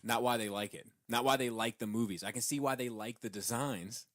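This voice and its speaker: A man's voice: mid-range voice, fairly quick, fairly bright tone, no echo, studio quality. A man co-hosting a podcast in casual debate, explaining himself and qualifying his points as he goes. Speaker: podcast co-host.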